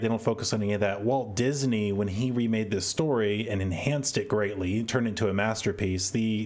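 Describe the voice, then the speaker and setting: A man hosting a podcast, giving casual, exasperated commentary and often repeating words as he thinks aloud. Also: lowish voice. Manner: opinionated, exasperated. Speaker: podcast host.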